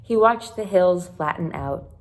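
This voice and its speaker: A woman's voice: fairly low pitch, animated, measured pace. A woman reading a children's chapter book aloud like a teacher, expressively, with explanatory asides for young listeners. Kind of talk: read-aloud storytelling with short explanatory asides.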